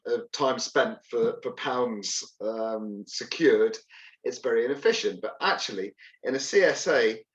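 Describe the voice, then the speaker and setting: A man talking on a video call, his sound a little dull and lo-fi. He speaks hesitantly, restarting and repeating words while he works toward his point. His pitch is ordinary for a man. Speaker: workshop participant. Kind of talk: online group discussion.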